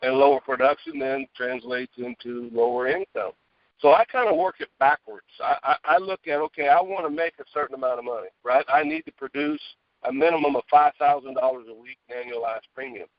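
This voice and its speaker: Male guest sales speaker, lively swooping delivery with some fry, emphatic and conversational, dry muffled phone call. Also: mid-range voice, brisk, no room sound. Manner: emphatic, conversational, checks in with "okay?" and "right?". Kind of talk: motivational sales talk to agents. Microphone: phone earpiece. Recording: dull lo-fi phone earpiece call.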